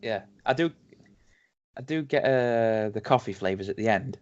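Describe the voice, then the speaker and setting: A man with a Yorkshire accent co-hosting a casual whisky tasting podcast. He reads tasting notes slowly and teases his friend. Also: fairly low pitch, smooth. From Yorkshire, England. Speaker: podcast co-host. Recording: dull lo-fi home podcast audio.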